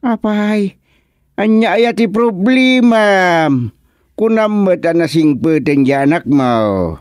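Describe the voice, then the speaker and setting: A man's voice: high-pitched, animated, slow, a little mellow, no echo. A man playing a village chairman who hears complaints, in a radio comedy drama. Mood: questioning.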